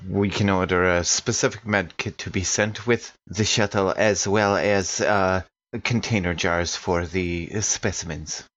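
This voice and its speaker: A man voicing a cautious woman scientist with a measured, formal manner in a tabletop game. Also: low voice, fairly even intonation, a bit bright, muffled recording.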